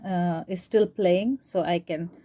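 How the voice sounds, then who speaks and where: A woman's voice: lowish voice, fairly even intonation, slow, noisy background. A woman presenting an informal, unscripted how-to demonstration, hesitant and thinking aloud as she goes step by step. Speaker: tutorial presenter demonstrating tape digitizing.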